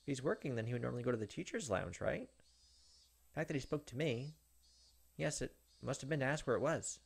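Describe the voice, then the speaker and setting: A man, perhaps in his thirties, reading visual novel narration aloud and commenting, with dramatic, halting delivery. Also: medium pitch, lively intonation, vocal fry, slightly rough. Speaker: gaming video host. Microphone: desk microphone close up. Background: game music.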